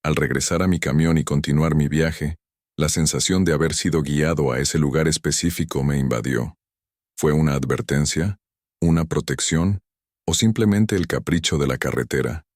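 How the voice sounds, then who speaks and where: A man narrating first-person horror stories in a steady, measured storytelling manner. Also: a bass voice, dry recording, clean studio sound.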